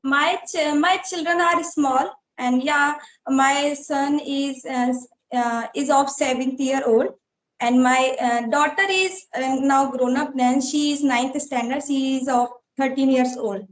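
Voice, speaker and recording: A woman in her thirties with an Indian accent, hosting a casual interview in halting learner's English. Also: high-pitched, steady tone, recorded from a distance. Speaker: interviewer, YouTube host. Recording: roomy, echoey sound.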